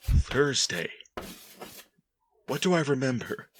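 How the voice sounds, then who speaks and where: A man playing a haunted, regretful figure who broods haltingly in a melodramatic retro radio drama monologue. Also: medium pitch, big pitch swings, slight background noise. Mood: haunted, remembering. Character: haunted, regretful man brooding over his failed plan.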